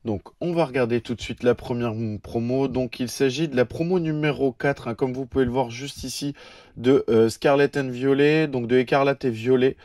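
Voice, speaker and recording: French male host, stuffy from a cold, mellow voice, halting chatty unboxing patter. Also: medium pitch. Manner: hesitant, frequent filler sounds; lists cards in a steady patter. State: has a cold. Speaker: YouTube channel host. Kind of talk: casual card unboxing commentary.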